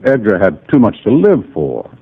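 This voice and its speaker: A composed, low-voiced inventor. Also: male voice, huge pitch swings, breathy edge, heavily acted. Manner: measured, composed, a little formal. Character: precise, self-assured middle-aged male radio inventor. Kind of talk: 1950s radio drama acting. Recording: lo-fi old radio broadcast.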